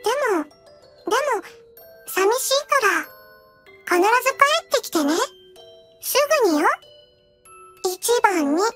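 Very high-pitched clingy fairy girl. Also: lively intonation, a bit bright, faint music behind, full character voice. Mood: pleading, tearful. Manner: cutesy, pleading, halting. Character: clingy, childlike doll-like fairy girl, sweet and needy. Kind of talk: Japanese anime-style audio drama acting.

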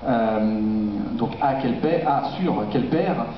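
A man's voice: medium pitch, takes it slowly, far from the mic. A man gives a public lecture with slides, hesitating often as he searches for words. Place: dark auditorium. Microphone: distant camera in the audience. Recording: echoey, dull, noisy auditorium recording from afar.

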